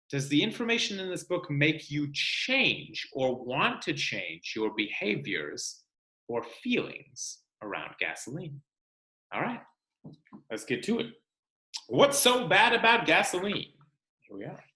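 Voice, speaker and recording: A man reading a book aloud to children like a teacher, expressive and instructional, pausing to explain. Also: a little high. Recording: roomy, echoing sound.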